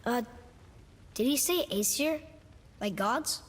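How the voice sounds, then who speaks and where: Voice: mid-range voice, animated, slow pace. A young performer playing an earnest, curious boy adventurer with an eager, inquisitive manner. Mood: puzzled.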